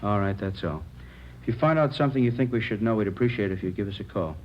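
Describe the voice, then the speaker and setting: A dull, faintly hissy old radio recording carries a man acting an American police captain. He is formal and authoritative, with a low voice and a brisk delivery in 1950s crime drama manner. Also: animated, natural voice. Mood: stern, official. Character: formal, measured male police captain in charge.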